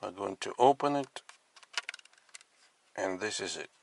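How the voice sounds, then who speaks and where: A man giving a casual product demonstration as a collector, speaking in halting, piecemeal phrases. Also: lowish voice, animated, creaky, a little mellow, slight background noise. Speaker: radio collector presenting his collection. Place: inside a parked car.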